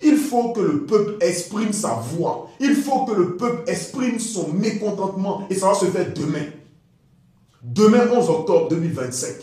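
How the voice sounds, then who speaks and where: A man delivering a fiery political speech in a forceful, declamatory way with emphatic repetition. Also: high voice, expressive, normal speed. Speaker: political activist. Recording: very echoey, reverberant sound.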